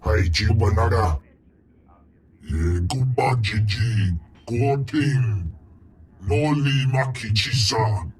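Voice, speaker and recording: A man voicing a huge, imperious alien crime king in a video game, pompous and booming, speaking an invented alien tongue. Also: lively intonation, slow, darkish tone, breathy edge, full character voice. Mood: irritated, commanding.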